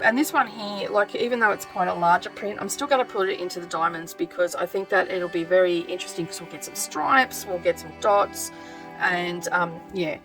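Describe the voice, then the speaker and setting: A woman with a croaky voice chatting casually as she sews, thinking aloud with lots of ums. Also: on the low side, a bit bright. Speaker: craft vlogger. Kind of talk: casual craft vlog chat.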